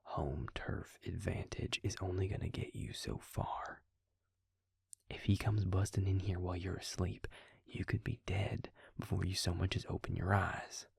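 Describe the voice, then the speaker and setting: A man playing a worried, protective, gruff but caring vampire in an intimate audio roleplay, with a breathy, gravelly murmur and a halting, earnest, pleading manner. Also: deep voice, fairly even intonation, no room sound, in a whisper, studio quality, full character voice. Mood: exasperated, pleading.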